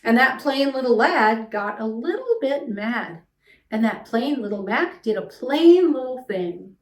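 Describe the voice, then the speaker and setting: A middle-aged woman reading a rhyming children's picture book aloud as an expressive storyteller. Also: a little high, a little slow. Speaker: storyteller, read-aloud host. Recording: echoey room, distant mic.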